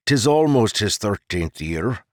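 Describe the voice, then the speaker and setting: A man doing a humble, gentle lumberman dad. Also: fairly low pitch, sing-song, unhurried, dry recording, studio quality, voice pushed up. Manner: deferential to nobles, gentle with his son. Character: large, humble male lumberman father, hopeful.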